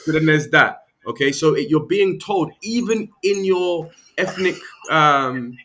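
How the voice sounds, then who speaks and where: A man's voice: a little high, expressive, unhurried, sharp tone. A British man, a podcast guest, explaining his points earnestly and often checking in with "right?" and "okay?". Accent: British English. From England. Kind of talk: conversational podcast interview.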